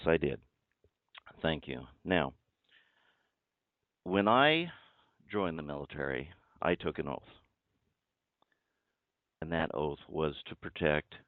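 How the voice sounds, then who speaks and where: A man with a low voice speaks over a dry, narrow telephone connection. His way of talking is formal and deliberate, with an insistent, questioning edge of a caller who wants answers. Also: expressive, dry recording. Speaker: caller introducing himself as a judge. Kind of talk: formal phone conversation. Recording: tinny band-limited phone line.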